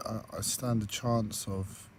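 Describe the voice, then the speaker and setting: A hoarse man records a personal video diary on a tablet, his voice low and flat. He talks hesitantly, full of fillers and restarts. A faint background noise sits under the recording. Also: unhurried. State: croaky, hoarse voice. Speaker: vlogger.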